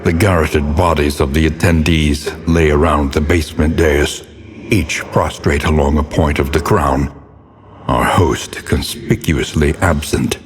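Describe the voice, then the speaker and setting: An actor playing a grim, haunted scholar who narrates cosmic horror in a grave, ornate, deliberate manner for a game. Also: male voice, extremely low pitch, fairly even intonation, breathy edge, full character voice. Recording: long hall reverb, noisy ambient bed.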